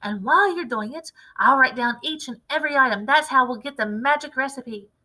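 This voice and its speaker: A woman voicing a wildly excited, impatient, domineering farmer father in a storybook read-aloud, exclamatory and emphatic. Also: high voice, fairly quick, poor audio. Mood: eager, insistent.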